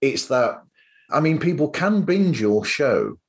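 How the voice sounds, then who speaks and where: A man's voice: on the high side, big pitch swings, slow. A man talking casually as a podcast host, in a rambling, anecdotal way.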